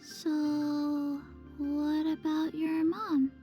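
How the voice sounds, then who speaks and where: A woman voicing a small, gentle child girl in a video game, with slow, drawn-out delivery. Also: high voice, level tone, faint music behind. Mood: calm, curious. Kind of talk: video game character dialogue.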